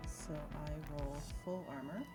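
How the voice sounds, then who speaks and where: Low-pitched woman running a game over music. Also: fairly even intonation, a little slow. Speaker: game master. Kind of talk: casual narration of a tabletop game. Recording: music behind the voice. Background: atmospheric synth music.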